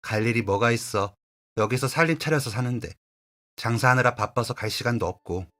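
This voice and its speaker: A man's voice: mid-range voice, fairly quick, pushed and bright, lightly acted. A man voicing an older woman who runs a diner, talking bluntly in a Gyeongsang dialect, over faint music. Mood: offhand. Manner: blunt, homey dialect speech. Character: older woman restaurant owner, chatty, blunt.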